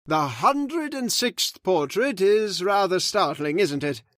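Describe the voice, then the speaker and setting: A man playing a solemn caretaker who slowly tells a dark family legend in an old-time radio drama. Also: high-pitched, animated, no room sound, clean studio sound, heavily acted. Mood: grave. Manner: slow, ominous, measured delivery. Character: grave, solemn male storyteller of the house. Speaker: Mister Roberts, old manor caretaker telling the legend.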